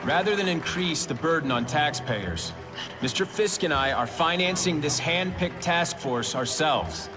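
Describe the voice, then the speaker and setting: A man's voice: fairly high pitch, expressive, unhurried, recorded from a distance. A man voicing a young corporate heir giving a composed, formal public speech. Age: young adult. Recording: game audio from a bit off-mic with music.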